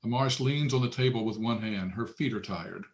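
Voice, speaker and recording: A man's voice: fairly low pitch, fairly quick. A man narrating stage directions matter-of-factly at a screenplay table read.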